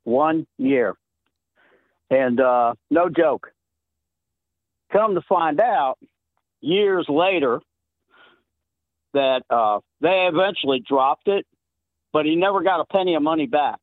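A man's voice: a little high, lively intonation, takes it slowly, pauses often, dry recording. A middle-aged man with a Southern accent, calling in to a show and telling stories in a chatty way, laughing often. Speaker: caller. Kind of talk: call-in show chat. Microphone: phone. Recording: tinny phone line.

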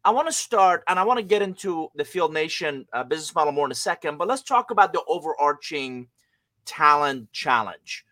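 A man's voice: fairly high pitch, lively intonation, brisk, sharp tone, dry recording. A man hosting a business podcast, friendly and upbeat as he welcomes a guest and asks questions. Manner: upbeat and friendly, polished interviewer. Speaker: podcast host.

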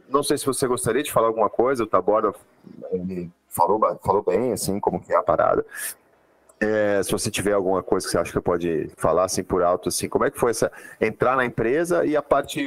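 A man's voice: on the low side, dry recording. A man hosting a podcast, chatting and asking questions in a slangy, easygoing way. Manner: slangy and chatty, lots of "cara" and "pô". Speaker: podcast host. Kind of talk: casual podcast interview.

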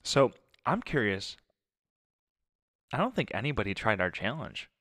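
A man's voice: mid-range voice, sing-song, measured pace, a bit bright, no room sound, studio quality. A man co-hosting a podcast in casual chat, teasing and playful. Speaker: podcast co-host.

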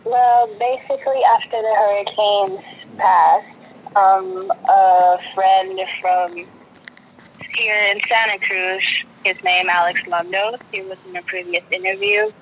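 A woman's voice: medium pitch, fairly even intonation, slow pace, husky, lo-fi sound. A woman from Puerto Rico with a Puerto Rican accent, telling her personal story conversationally as an interview guest.

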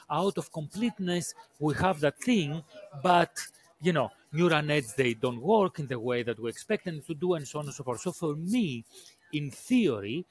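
A man from Greece with a Greek accent, a podcast guest telling his career story in a halting way, often repeating words and restarting sentences. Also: a little high. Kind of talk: casual interview storytelling.

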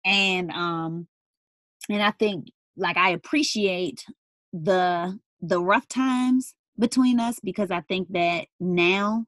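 A young woman in casual conversation with her mother, talking thoughtfully with many pauses and fillers. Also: lowish voice, a bit bright, no echo. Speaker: daughter interviewing her mother.

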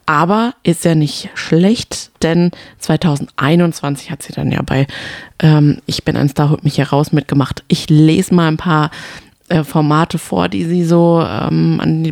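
A woman's voice: low voice, steady tone, moderate pace, vocal fry, a little mellow, no room sound, clean studio sound. A woman co-hosting a podcast, chatting casually about reality TV and feeling her way through her notes. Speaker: podcast co-host.

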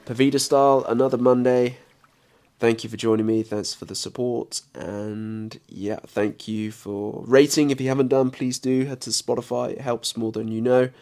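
A man with a head cold, nasal and bunged up, calmly guiding a breathing meditation and counting breaths. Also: medium pitch. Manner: calm, unhurried counting and instruction. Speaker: meditation guide and podcast host. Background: soft background music.

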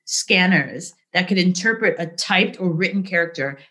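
A middle-aged woman speaking as an interview guest, telling candid work stories in a lively, conversational way, often asking "right?". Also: fairly low pitch, normal speed. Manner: animated, frequent "right?" and "like", laughs easily. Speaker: interview guest, business leader.

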